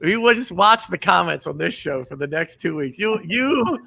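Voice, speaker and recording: Bright-voiced male podcast host. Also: high voice, animated, creaky. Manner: joking, quick back-and-forth banter. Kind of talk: casual interview chat.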